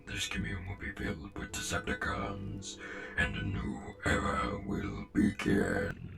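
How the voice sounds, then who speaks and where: Gravelly, solemn robot commander. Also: male voice, extremely low pitch, very animated, a bit bright, faint music behind, full character voice. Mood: solemn, commanding. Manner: formal, deliberate, portentous. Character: stern, solemn male robot commander, measured and betrayed. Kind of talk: fan comic dub voice acting.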